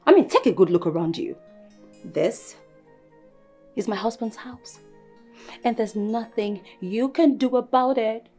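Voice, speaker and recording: An actress playing a hot-tempered young royal daughter-in-law, emphatic and confrontational, in a film melodrama. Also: female voice, fairly high pitch. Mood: smug, defiant. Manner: confrontational, emphatic, repeats herself.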